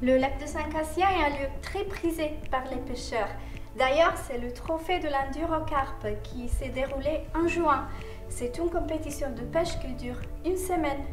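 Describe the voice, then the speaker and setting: A woman's voice: sits high, normal speed, over music. A woman from Italy, with an Italian accent, giving a scripted presentation as tourist office staff.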